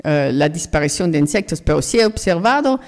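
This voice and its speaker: A woman's voice: deep voice, lively intonation, fairly quick, a little mellow, long reverb tail. A middle-aged British woman from Jersey, speaking as an ecologist guest in a radio interview, earnest and reflective. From Jersey, United Kingdom. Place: radio studio.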